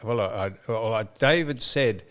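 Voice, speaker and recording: An older Australian man hosting a podcast, chatting in a rambling, storytelling way and giving listeners shout-outs. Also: medium pitch, moderate pace, clear, resonant tone. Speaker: podcast host. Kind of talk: casual chat.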